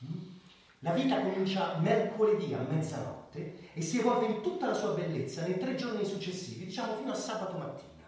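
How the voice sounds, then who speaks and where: A man acting in a radio drama as an idealistic doctor, orating with emphatic pauses like a public crusader. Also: high-pitched, expressive, a little mellow. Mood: earnest oratory. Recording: very echoey hall, far from the mic.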